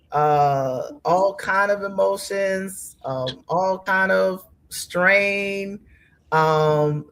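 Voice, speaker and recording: A woman giving reflective interview answers as a panel guest, halting and earnest, pausing between phrases. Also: low-pitched. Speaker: panel guest from a stage play.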